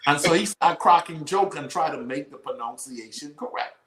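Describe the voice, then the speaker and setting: Bahamian male host, slightly high voice, preacher cadence, echoey room. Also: normal speed. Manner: animated storyteller, preacher cadence. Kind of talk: faith-based conversation.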